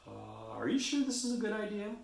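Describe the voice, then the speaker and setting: A man's voice: high voice, huge pitch swings, brisk, creaky, echoey room, distant mic, a little hiss or hum, pitched above the performer's own voice, heavily acted. A man voicing a hesitant, curious porcupine in a children's storybook, with a doubtful, wondering manner.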